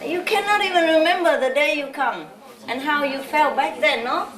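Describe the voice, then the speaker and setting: A woman's voice: high voice, moderate pace, fairly bright tone. A woman from Vietnam, giving an informal talk to followers as a spiritual teacher, chatty and laughing often. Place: large hall with a live audience. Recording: echoey hall, distant mic, audience noise.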